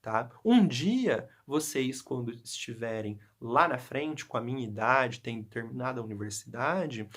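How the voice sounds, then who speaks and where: A young male Portuguese teacher from Brazil explains a lesson to students, checking in with frequent tag questions. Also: mid-range voice. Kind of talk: recorded class lesson.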